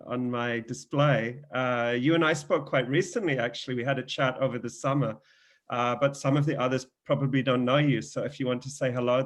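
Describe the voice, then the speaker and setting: Hesitant man hosting a video call. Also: mid-range voice. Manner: friendly, hesitant, many fillers. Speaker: host of the event.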